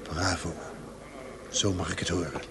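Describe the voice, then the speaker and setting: An actor in a Dutch radio play, playing a middle-aged father and ambitious office gentleman, anxious and condescending. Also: sits low, steady tone, measured pace. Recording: noisy old radio play recording.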